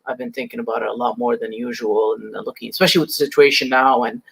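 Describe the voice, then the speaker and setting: A man's voice: mid-range voice, fairly even intonation, quick pace, creaky, fairly bright tone, husky, poor audio. A man in his thirties from Lebanon, a guest talking casually and easily, swearing now and then. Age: 30s. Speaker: guest, snake breeder. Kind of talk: casual chat.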